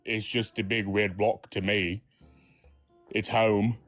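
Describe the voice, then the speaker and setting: A man's voice: on the low side, level tone, takes it slowly, a bit bright, no echo, soft background music. A man voicing a folksy, easily offended male Martian fire yeti with a Southern US accent, rambling in a comedy podcast. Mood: grumbling. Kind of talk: comedic improv character.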